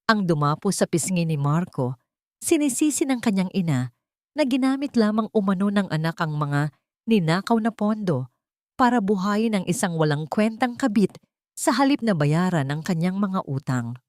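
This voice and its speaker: A woman with a Filipino accent narrating a scripted story in a measured, formal and dramatic way. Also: medium pitch, sing-song, a little mellow, no room sound, studio quality.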